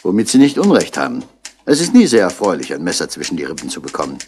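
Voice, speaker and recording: An actor playing a shrewd, laconic police commissioner in a 1960s German radio crime drama, husky and sardonic. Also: male voice, deep voice, huge pitch swings, quick pace, darkish tone, slight background noise. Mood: dry, sardonic. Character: dry, unhurried, shrewd male police commissioner.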